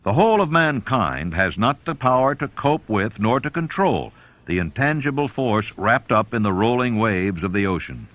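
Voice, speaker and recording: A man reading a self-help audiobook as narrator, in a measured, instructional manner. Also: fairly low pitch, sing-song, a bit bright.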